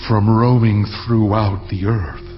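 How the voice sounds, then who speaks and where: A man voicing Satan as a sly accuser in a dramatized scripture reading, speaking slowly and deliberately. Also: lowish voice, level tone. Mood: challenging. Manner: slow, deliberate, challenging. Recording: dull lo-fi recording. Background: cinematic music.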